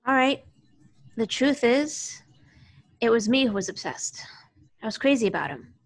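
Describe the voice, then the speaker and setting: Woman acting cool young love interest, guarded, wry, slightly high voice, table read. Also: lively intonation, a little slow. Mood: regretful. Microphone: video call.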